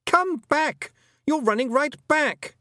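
A man's voice: high voice, big pitch swings, takes it slowly, a bit bright, dry recording, clean studio sound, pitched above the performer's own voice, exaggerated voice. A man voicing a bewildered young boy in a storybook, sounding confused and urgent. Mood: alarmed shouting. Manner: urgent, confused, mumbling or calling out.

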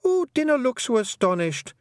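A man's voice: very high-pitched, big pitch swings, moderate pace, no echo, studio quality, voice pushed up. A man voicing a tiny, dying old fairy clan mother, bossy and shrewd, in a lilting rural brogue. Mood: commanding. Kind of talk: audiobook character voice.

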